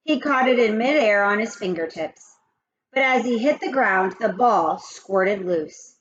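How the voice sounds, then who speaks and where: Lively woman reading a storybook aloud. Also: medium pitch, a little slow, dull audio. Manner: lively, expressive, addresses kids directly. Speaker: teacher reading to children. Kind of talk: children's read-aloud with asides.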